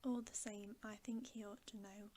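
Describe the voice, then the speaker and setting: A woman voicing an earnest, concerned young girl from a storybook in a gently questioning whisper. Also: mid-range voice, moderate pace, natural voice. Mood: concerned, hushed. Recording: steady background hiss.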